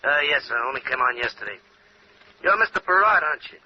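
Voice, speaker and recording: An actor playing a polite, new hotel elevator operator in old-time radio drama style. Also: male voice, medium pitch, expressive, fast talker, natural voice. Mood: deferential. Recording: muffled, noisy old radio broadcast.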